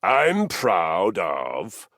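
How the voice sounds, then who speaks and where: Game role: boastful battle-crazed Viking, slow, raspy and booming. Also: male voice, wildly swooping pitch, no echo, clean studio sound, full character voice. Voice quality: gravelly, booming. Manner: swaggering, theatrical, shouting bursts. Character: boastful brawny Viking warrior man, battle-hungry. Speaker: voice actor. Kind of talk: video game voice acting. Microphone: close studio mic.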